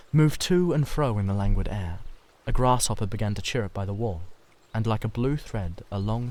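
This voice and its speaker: Male narrator reading literary fiction. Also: lowish voice. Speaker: audiobook narrator.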